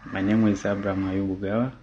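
A man from Tanzania, a salesperson, introducing himself deliberately with pauses in a self-recorded video. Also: fairly low pitch, little pitch movement, mellow, slight background noise. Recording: dull lo-fi phone audio.

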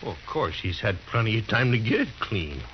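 A man's voice: on the low side, sing-song, a little fast. Hot-headed, wildly swooping frontier townsman. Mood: angry, accusing. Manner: agitated, goading. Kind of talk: 1950s radio western acting. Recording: muffled, noisy 1950s radio recording.

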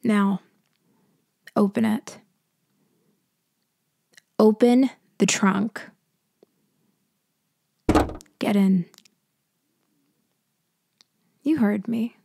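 A woman playing a cool, teasing, controlling mafia leader in an ASMR roleplay, speaking deliberately in a murmur that often drops toward a whisper. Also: medium pitch, fairly even intonation, vocal fry, no echo, clean studio sound, exaggerated voice. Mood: commanding, threatening. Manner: slow, deliberate, softly taunting, often dropping to a whisper. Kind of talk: ASMR roleplay audio acting.

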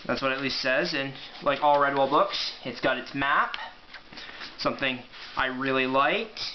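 Male, 20s, casual amateur vlogger, mid-pitched, dull camera audio with some noise. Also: normal speed. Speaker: amateur book reviewer. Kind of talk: casual vlog review with read-aloud passages. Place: small room at home, indoors.

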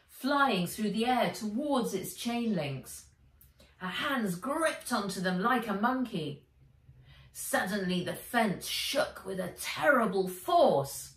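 A middle-aged woman reading an adventure story for children aloud, with expressive, dramatic, emphatic delivery. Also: mid-range voice, distant mic. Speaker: author reading her own book aloud. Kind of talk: dramatic audiobook-style narration for children. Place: indoors, bright room with armchair. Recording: roomy, echoey, away from mic.

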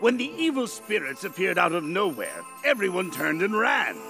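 An actor playing a pompous, blustering, cowardly king with a gravelly voice in a video game. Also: sits high, huge pitch swings, normal speed, full character voice. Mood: sheepish. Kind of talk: comic video game voice acting. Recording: loud music behind the voice.

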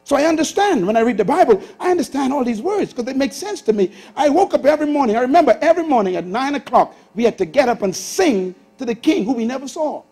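A Bahamian man in his sixties preaching a sermon on a church stage, swinging from loud bursts to hushed asides. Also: very high voice, expressive, quick pace, few pauses, husky. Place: large church auditorium stage with audience.

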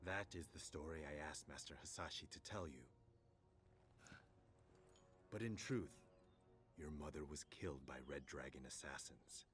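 A man playing a grave, resolute middle-aged warrior father in a video game, speaking in a measured, formal way. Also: very deep voice, a bit bright, faint music behind. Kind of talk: dramatic video game acting.

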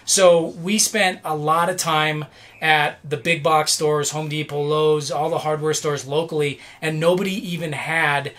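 A man's voice: a little high, fairly even intonation, a little slow, a bit bright. A man in his forties explaining a motorhome sink swap to camera in a chatty, self-deprecating way, full of fillers and restarts, inside a motorhome kitchen. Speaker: RV renovation vlogger. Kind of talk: casual DIY how-to talk to camera.

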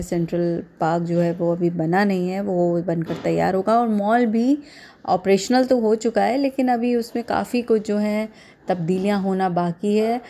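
Female vlogger, 30s, Indian accent. Soft mellow tone, fairly flat intonation, hesitant casual narration. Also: medium pitch. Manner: hesitant, halting delivery with repeated filler phrases.

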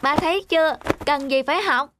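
Woman as a high-voiced, cocky little boy. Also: brisk, background noise, close to an everyday voice. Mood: boastful. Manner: boastful, sulky. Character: cocky, stubborn little schoolboy. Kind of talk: cartoon voice acting. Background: music.

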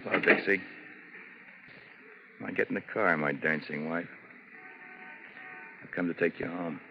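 Casting: cold, bitter husband, low voice, terse menace, vintage radio drama with muffled sound. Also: male voice, a little slow, vocal fry, over loud music. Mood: quiet menace. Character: cold, embittered husband who hates his wife. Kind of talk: 1950s radio drama acting. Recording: dull, noisy 1950s radio broadcast.

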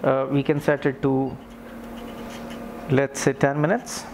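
A man's voice: medium pitch, little pitch movement, slow. A man in his thirties giving an unscripted instructional demonstration, explaining plainly step by step. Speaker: physiotherapy instructor demonstrating equipment. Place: indoor clinic treatment room with tiled floor. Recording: clear background noise, camera in clinic room.

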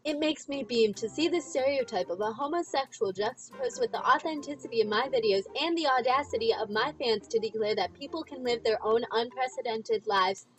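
A woman's voice: fairly high pitch, a little fast, few pauses, poor audio. A young woman giving a mock-pompous dramatic reading in a theatrical, grandiloquent, carefully enunciated way. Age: young adult. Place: small dim room indoors.

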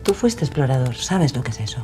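A determined woman aviator of the 1930s in an audio drama. She speaks with spirited assurance, and music runs clearly behind her voice. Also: rumbling low, sing-song, brisk, dark tone. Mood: earnest, defiant. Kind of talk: scripted audio drama acting and narration.

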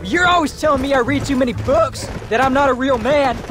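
A man's voice: extremely high pitch, a little fast. An actor with an American accent playing a defiant, sulky teenage farm boy in a western video game. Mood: defiant, hurt. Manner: sulky, pleading. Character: defiant, wounded-pride teenage farm boy, cowboy's son. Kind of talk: dramatic video game acting. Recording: game audio with background music.

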